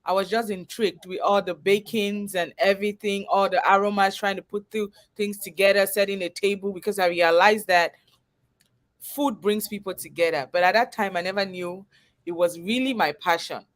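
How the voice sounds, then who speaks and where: A woman's voice: mid-range voice, steady tone, moderate pace. A woman with a Cameroonian accent telling her personal story as a guest in a live interview, earnest and conversational. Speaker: guest, chef and business owner.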